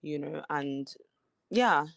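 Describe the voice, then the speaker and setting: A woman's voice: low-pitched, slow pace, creaky, no echo. A woman from Nigeria with an accent that mixes Nigerian and British, speaking as a guest in a casual interview. She talks in an articulate, earnest way and uses "you know" often. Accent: Nigerian and British mix.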